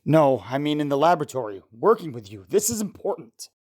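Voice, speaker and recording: A woman acts out a devoted scientist husband in a vintage-style radio play. The voice sits low, with a fond, encouraging manner. He is proud of his wife and teases her gently. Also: sing-song, brisk. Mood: proud, tender. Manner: tender, encouraging, gently teasing. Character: affectionate, proud scientist husband, adult man. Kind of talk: old-time radio drama acting.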